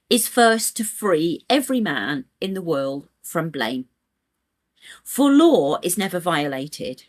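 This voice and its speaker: Female audiobook narrator for spiritual text; unhurried delivery, lively rise and fall, solemn emphasis. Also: fairly low pitch. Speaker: audiobook reader.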